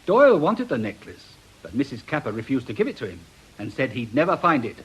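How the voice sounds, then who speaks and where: Radio drama: down-to-earth British police detective, dry, doubting, exasperated, husky tone, lively intonation. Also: male voice, mid-range voice, a little fast, full character voice, rough recording. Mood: matter-of-fact, confident. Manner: dry, matter-of-fact, exasperated.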